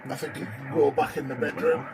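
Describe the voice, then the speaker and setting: A man's voice: a little high, fairly even intonation, fairly quick, over loud music. A man chatting casually as a radio hobbyist in a vlog, musing aloud in a hesitant, unscripted way. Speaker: amateur radio hobbyist reviewing receivers. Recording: noisy, with shortwave radio hiss. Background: radio static and broadcasts.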